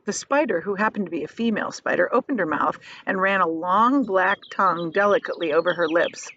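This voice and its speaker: A woman teacher reading a children's storybook aloud expressively, pausing to explain things in simple, casual words. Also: lowish voice, pushed and bright, highs cut off.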